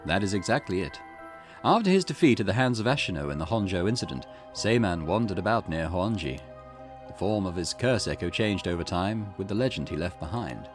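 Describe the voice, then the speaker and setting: A man reading aloud the lines of a cryptic, formal male storyteller who guides the player, with a measured, theatrical delivery. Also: low-pitched, big pitch swings. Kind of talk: reading game dialogue aloud. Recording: game music playing behind.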